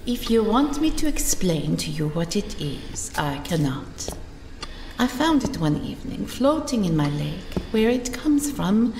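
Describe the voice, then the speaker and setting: A woman's voice: a little high, darkish tone, a little hiss or hum. A woman with a French accent playing a haughty, secretive lady of the house in an audio drama, speaking stiffly and formally. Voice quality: stiff, formal, slow and cold. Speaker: Madame Alaire. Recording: echoey room with music behind.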